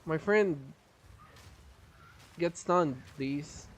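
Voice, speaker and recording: A man's voice: fairly high pitch, extremely slow, a little hiss or hum. A man streaming a game live, chatting casually and explaining his build while his voice is strained and he holds back a cough. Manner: chatty, explains build details, laughs often. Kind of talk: live gameplay commentary.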